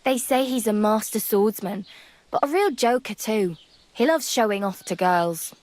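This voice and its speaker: A woman's voice: mid-range voice, unhurried, a little mellow, dry recording, close to an everyday voice. An actress playing a frank, teasing and helpful young village woman in a video game. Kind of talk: video game character dialogue.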